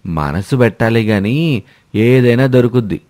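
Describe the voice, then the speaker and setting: A man voicing an easygoing, food-loving old father-in-law with a relaxed, hearty manner. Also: mid-range voice, normal speed, natural voice.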